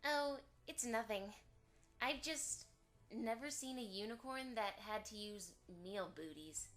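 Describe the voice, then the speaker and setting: A woman's voice: fairly high pitch, slow pace, fairly bright tone, soft background music. A woman playing a spiteful, condescending young unicorn filly from a rich family in an amateur audio drama. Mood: snooty disdain. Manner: condescending, haughty.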